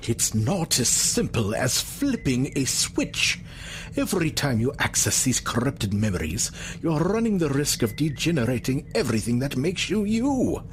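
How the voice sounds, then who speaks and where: An actor playing an arrogant reptilian scientist in an audio drama, articulate, theatrical and condescending. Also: male voice, mid-range voice, very animated, moderate pace, slight background noise, lightly acted. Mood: smug, explanatory.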